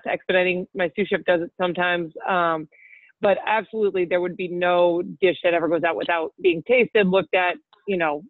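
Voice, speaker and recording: A woman's voice: on the low side, no echo. A woman who is a chef and restaurant owner, answering interview questions in a direct, fluent way with an occasional um. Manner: direct, fluent, with um and you know. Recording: dry, tinny phone-like audio.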